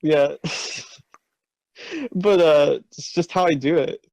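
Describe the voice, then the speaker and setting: A man's voice: fairly high pitch, steady tone, takes it slowly, creaky, darkish tone, no room sound. A man commenting on a badly written story, riffing jokes and laughing often. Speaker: co-reader and commentator. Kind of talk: comedic banter.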